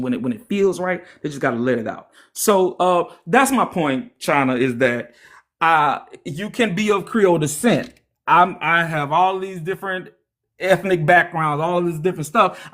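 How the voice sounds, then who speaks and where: A high-voiced young man with a Louisiana accent hosts a heated livestream debate. He lectures at length, then mocks his opponent with repeated taunts. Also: fairly bright tone. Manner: lecturing, mocking, repetitive taunts. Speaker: live stream host.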